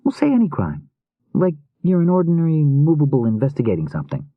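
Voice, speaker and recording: A man's voice: on the high side, huge pitch swings, fast, dry recording, narrow, tinny audio, voice pushed up, heavily acted. A man voicing an inquisitive, quirky young woman with slangy, eager, casual speech in an audiobook. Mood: curious, pressing. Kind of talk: audiobook character dialogue.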